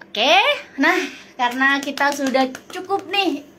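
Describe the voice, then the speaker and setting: A woman's voice: high-pitched, unhurried, bright, forceful tone, slight background noise. A woman co-hosts a live chat. She talks casually and reassuringly, often agreeing in short affirmations. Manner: chatty, reassuring, frequent affirmations. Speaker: live-stream co-host. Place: live video stream.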